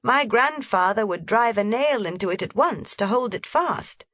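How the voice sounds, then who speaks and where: A woman's voice: expressive, moderate pace, fairly bright tone, no room sound. A woman voicing an eager, anxious little mountain girl in a storybook reading. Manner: high, eager, pleading. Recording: slightly dull, dry lo-fi recording.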